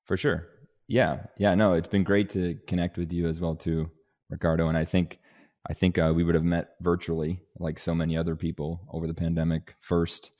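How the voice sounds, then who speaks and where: A man in his twenties, originally from Denmark, talking as an interview guest in a relaxed, rambling way with restarts and "you know"s. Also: sits low, moderate pace. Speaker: guest, agribusiness vice president. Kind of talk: casual interview conversation.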